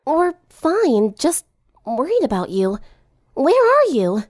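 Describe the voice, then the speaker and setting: A woman's voice: sits high, huge pitch swings, takes it slowly, darkish tone, pitched above the performer's own voice, full character voice. A woman voicing a shy, bookish, awkward teenage girl in a cartoon story, hesitant and earnest. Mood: earnest concern.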